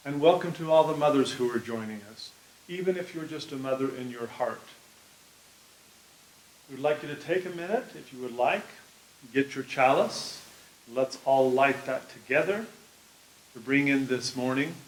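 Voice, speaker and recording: A man's voice: mid-range voice, moderate pace, long pauses. Man welcoming a congregation, echoey room. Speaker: church music director. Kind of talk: service welcome and chalice lighting reading. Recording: echoey room with faint hiss.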